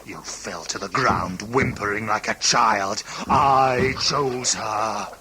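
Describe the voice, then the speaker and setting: An actor playing a domineering, contemptuous male figure who scolds forcefully in an audio drama. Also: lowish voice, takes it slowly, background noise.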